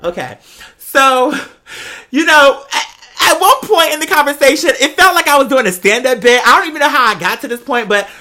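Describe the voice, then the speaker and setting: A man's voice: extremely high pitch, very animated, brisk, pushed and bright. An American man chatting casually like a gossipy host, with a sassy manner and dramatic emphasis as he tells personal stories. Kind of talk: casual chat, gossipy storytelling.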